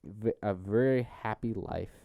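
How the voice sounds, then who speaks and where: A man hosting a casual podcast chat, animated and trying to keep his co-hosts on track. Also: on the low side, a little slow, gravelly, no echo, narrow, tinny audio. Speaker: podcast host. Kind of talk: casual chat reviewing a film.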